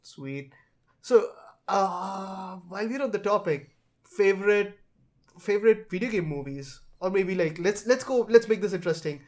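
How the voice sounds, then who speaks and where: A man's voice: sits high, expressive, moderate pace, darkish tone. A man hosting a casual chat, with a hesitant, circling way of putting things. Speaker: podcast host. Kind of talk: casual chat about films and games.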